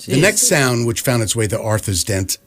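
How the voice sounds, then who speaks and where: A man playing the measured narrator of a comic science fiction radio play, reading scripted narration. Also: medium pitch, big pitch swings. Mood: dry, measured.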